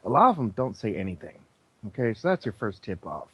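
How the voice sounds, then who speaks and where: Low-voiced man, halting and opinionated. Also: sing-song, slightly rough, poor audio. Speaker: interview guest, former air traffic controller. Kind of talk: conversational radio interview.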